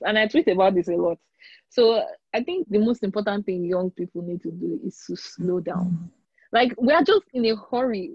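Podcast guest: young woman, Nigerian accent, husky voice, reassuring and emphatic, on dry, slightly dull audio. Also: medium pitch, a little mellow, no echo. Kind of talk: conversational advice in an interview.

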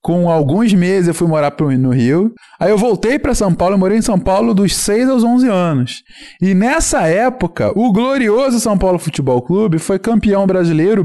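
A man in his thirties with a Carioca Brazilian accent, a podcast guest talking in a casual, animated and rambling way. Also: high voice. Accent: Carioca Brazilian Portuguese. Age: 30s. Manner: animated, rambling storyteller with many restarts and fillers. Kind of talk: casual chat.

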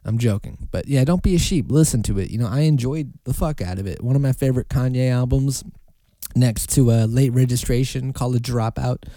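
A man's voice: medium pitch, fairly quick, mellow, dry recording. A young man hosting a casual solo podcast, talking in a loose, rambling way and swearing freely. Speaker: podcast host.

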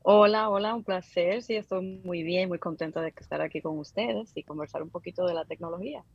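Dominican woman, 30s, podcast guest, hesitant and chatty, low husky voice. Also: a little fast, no echo, lo-fi sound. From Dominican Republic. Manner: hesitant, many fillers and restarts. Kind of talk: casual interview.

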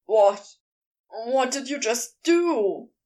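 A woman's voice: fairly high pitch, animated, extremely slow, warm tone. A woman voicing an easygoing, teasing, encouraging man in audiobook character dialogue. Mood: aghast.

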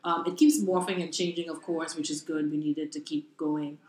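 A young woman from the Bahamas, a guest in a casual interview, talking in an animated, laughing way. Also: low voice, fairly quick. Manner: animated, laughing, lively storytelling. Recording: roomy echo.

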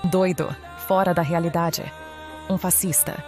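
A woman with a Brazilian accent playing a pragmatic, persuasive teenage schoolgirl in audio drama, with measured delivery. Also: lowish voice, steady tone, darkish tone, faint music behind, lightly acted. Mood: serious.

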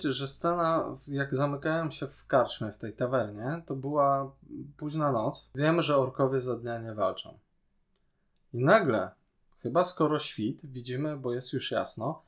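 A man's voice: mid-range voice, a little slow. A man co-hosting a podcast, chatting casually and analytically as he critiques a series. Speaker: podcast co-host. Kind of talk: casual chat reviewing a TV series.

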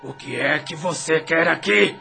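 A defiant spirit out for revenge, snarling in a hard, forceful voice with a gravelly edge. He is bitter and confrontational, drawing out his lines in radio-drama fashion over music. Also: on the high side, heavily acted. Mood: hostile. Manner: hostile, confrontational, drawn-out delivery. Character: rebellious, vengeful male spirit, bitter and defiant.

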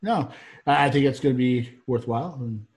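A man with a faint Southern drawl talks in a loose, rambling way, often restarting his sentences. His voice sits at an ordinary middle pitch, and he chats casually as a co-host. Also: a little fast. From Georgia, US. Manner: rambling, animated, frequent restarts. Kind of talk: casual chat.

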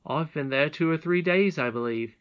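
A woman's voice: low-pitched, normal speed, highs cut off, natural voice. A woman voicing a brave, humble young miner boy in a storybook, frank, earnest and emphatic. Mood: sincere.

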